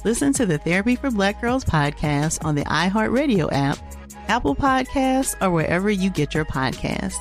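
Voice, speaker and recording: A woman reading a scripted podcast promo in a calm, friendly way. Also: medium pitch, moderate pace, faint music behind. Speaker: podcast host in ad. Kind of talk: scripted ad read.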